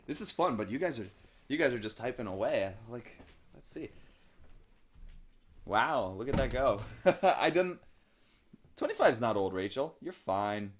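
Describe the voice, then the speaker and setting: A young man in his early twenties from Pennsylvania, co-hosting a casual livestream and excitedly reading viewer comments aloud. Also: medium pitch. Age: young adult. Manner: excitable, jokey, reads comments aloud quickly. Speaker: livestream co-host.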